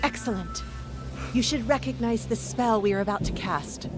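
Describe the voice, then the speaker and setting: Self-assured sorceress played by an actress, music behind. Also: female voice, fairly high pitch, moderate pace, lightly acted. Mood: alert, pleased. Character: female spellcaster, alert and self-assured. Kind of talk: dramatic fantasy game cutscene acting. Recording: prominent background game music.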